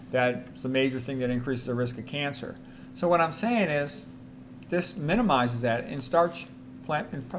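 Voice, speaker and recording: Male presenter, mid-pitched, reads quotes and adds informal, emphatic asides; some background noise. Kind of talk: reading quotes aloud with informal commentary.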